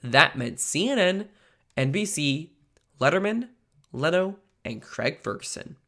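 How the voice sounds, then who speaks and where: A young man playing an earnest, excitable teenage amateur superhero in a comic dub, with some self-deprecation. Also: fairly high pitch, a little slow, vocal fry, full character voice. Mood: wry, excited narration. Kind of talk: comic book dub acting, first-person narration.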